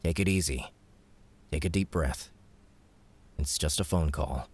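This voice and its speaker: A man's voice: very deep voice, measured pace, a little mellow, slightly rough, full character voice. A man voicing a withdrawn young man who grumbles and answers reluctantly. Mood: calming.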